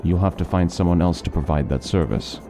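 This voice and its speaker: An actor playing a learned doctor who eagerly lectures on lore in an interactive fantasy story. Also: male voice, sits low, fairly quick, dark tone, slight background noise. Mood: explaining. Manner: talkative, lecturing, fond of lore. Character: scholarly male doctor, middle-aged, chatty lore lover.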